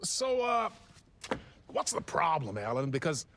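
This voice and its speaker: Lively, high-ish talk show host. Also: male voice, measured pace, slight background noise, full character voice. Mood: probing. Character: probing, smooth-talking male television talk show host. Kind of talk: acted TV interview in a video game.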